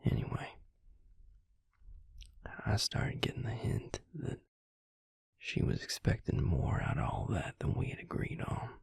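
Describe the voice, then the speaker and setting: A man playing a tender, regretful male vampire in an intimate audio roleplay, confiding haltingly in a soft, breathy, gravelly whisper. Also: no echo, clean studio sound, natural voice. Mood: rueful, candid.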